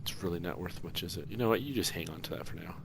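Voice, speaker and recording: A man's voice: low voice, very fast, a crowd in the background. A man giving casual, conversational commentary as he plays a video game. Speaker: video game let's player. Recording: clear background noise, game sounds.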